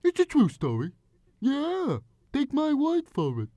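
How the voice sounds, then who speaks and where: A man's voice: extremely high pitch, wildly swooping pitch, unhurried, mellow, no echo, full character voice. Man as a folksy, swooping tall-tale teller. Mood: excited, insistent. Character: folksy, wide-eyed yarn-spinner telling tall tales. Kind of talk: dramatic storytelling on a voice demo.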